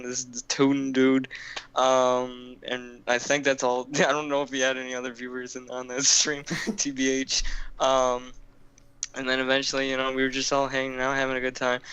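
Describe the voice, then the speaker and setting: Bright, crisp-voiced young man rambling. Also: medium pitch, rough recording. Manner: rambling, profane, lots of fillers and restarts. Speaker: podcast co-host. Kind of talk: casual storytelling chat.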